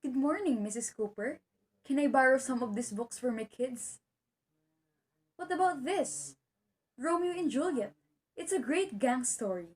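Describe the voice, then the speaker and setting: An earnest young teacher and dutiful daughter, acted by a woman in an amateur play. Her voice sits fairly high, and she speaks with determination. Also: lively intonation, a little slow, pauses often, a little mellow. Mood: pleading. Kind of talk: amateur one-act play acting.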